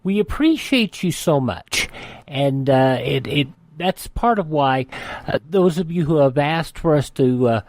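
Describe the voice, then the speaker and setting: A man's voice: fairly high pitch, expressive, measured pace, darkish tone, no echo. A man hosting a radio show in a conversational way. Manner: hesitant, stumbling when unscripted.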